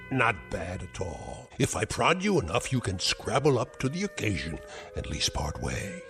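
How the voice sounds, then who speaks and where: A sneering old scientist uncle. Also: male voice, lowish voice, huge pitch swings, unhurried, a bit bright, soft background music, exaggerated voice. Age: older. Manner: sneering, mocking, theatrical taunts. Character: cruel sarcastic elderly male scientist uncle. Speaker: domineering uncle. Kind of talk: 1960s TV drama acting.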